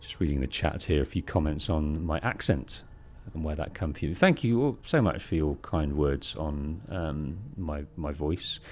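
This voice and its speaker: A British man with a deep, bassy voice giving measured, polite storytelling commentary as he streams a game, close on the microphone. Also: darkish tone. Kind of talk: let's play commentary, storytelling. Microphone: close bass-heavy streaming microphone.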